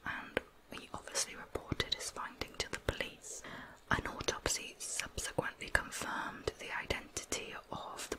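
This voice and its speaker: A woman narrating true crime in ASMR style, in a hushed, breathy, unvoiced whisper with measured storytelling. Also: rough recording.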